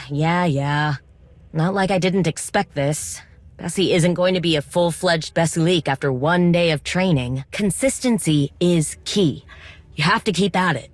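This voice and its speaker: An actress playing a determined, wry droid mechanic in a video game, speaking informally with coaxing, teasing encouragement. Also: female voice, deep voice, a little slow, no room sound. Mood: patient, encouraging.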